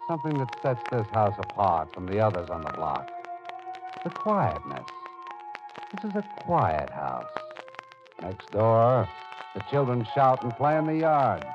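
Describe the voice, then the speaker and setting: A man playing a solemn, deliberate narrator in an old radio drama. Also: on the low side, sing-song. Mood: solemn, dramatic. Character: solemn male narrator addressing the heroine as you. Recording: muffled old radio recording. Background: music.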